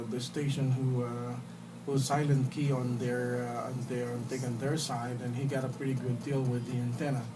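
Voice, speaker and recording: A man talking casually as an amateur radio operator, halting and repeating phrases, in a noisy phone recording. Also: mid-range voice, distant mic. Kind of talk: casual ham radio contact. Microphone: phone recording in radio room.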